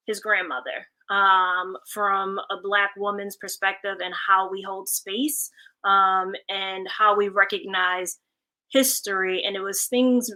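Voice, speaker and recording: A woman's voice: medium pitch, sharp tone, low-quality recording. A woman panelist giving thoughtful spoken commentary in a discussion.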